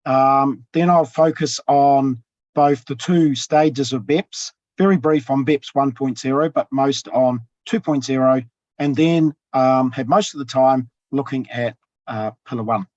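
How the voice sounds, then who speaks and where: A man with a husky voice, giving a measured academic talk over a video call, often pausing with ums. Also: medium pitch, a little mellow, no echo. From New Zealand. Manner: measured, with frequent ums and pauses. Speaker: tax professor presenting. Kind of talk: academic webinar presentation. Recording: dull lo-fi video call audio.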